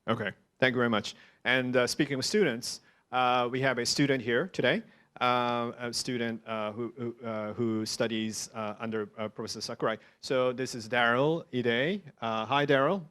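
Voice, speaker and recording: A man's voice: mid-range voice, fairly even intonation, normal speed, a bit bright, clean tone. A man moderating an online Q&A session, speaking hesitantly with many ums and uhs. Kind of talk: hosting an online Q&A.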